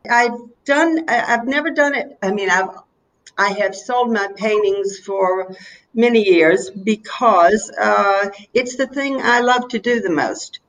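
Woman, seventies, Southern US accent, interview guest, reflective, halting, anecdotal, conversational. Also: medium pitch, fairly bright tone, rough recording. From North Carolina, USA. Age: 70s. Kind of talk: casual interview chat.

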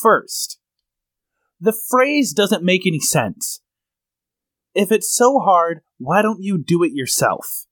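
A lively man co-hosting a comedy podcast, his voice sitting a bit high and bouncing around a lot as he explains and tells stories. He is close on the mic in a dry, dead room. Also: takes it slowly, long pauses, dry recording. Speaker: podcast co-host. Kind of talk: casual comedy podcast chat.

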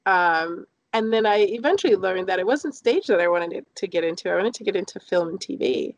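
A woman's voice: fairly low pitch, brisk, no echo, lo-fi sound. Joking, emphatic woman in dry close sound. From London, UK. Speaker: interview guest, actress. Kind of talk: casual personal storytelling in an interview.

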